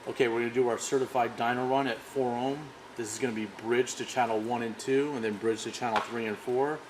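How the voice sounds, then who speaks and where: A man's voice: medium pitch, fairly even intonation, a little fast, noisy background. A man giving an informal technical product demonstration. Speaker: product demonstrator for an audio brand.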